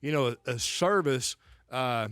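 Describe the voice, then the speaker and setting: A man's voice: mid-range voice, a little slow, fairly bright tone, clear, resonant tone, no echo. Rambling Southern man, dry sound. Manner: rambling, restarts sentences, asks "right?" often. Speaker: financial advisor, guest. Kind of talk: casual conversation.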